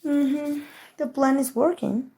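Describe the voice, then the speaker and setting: A woman's voice: high-pitched, slow pace, creaky, mellow, full character voice. A woman playing a haughty, scheming evil queen in an ASMR roleplay, with a regal, theatrical, condescending manner. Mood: smug, scheming. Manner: regal, theatrical, condescending, drawling with amused disdain. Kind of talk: ASMR roleplay audio drama.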